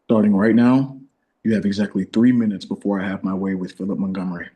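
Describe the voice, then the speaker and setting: A man's voice: little pitch movement, quick pace, dark tone, natural voice. A man playing a grim, vengeful interrogator in his twenties in a crime drama read, clipped and threatening. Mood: controlled threat. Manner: low, clipped, threatening delivery.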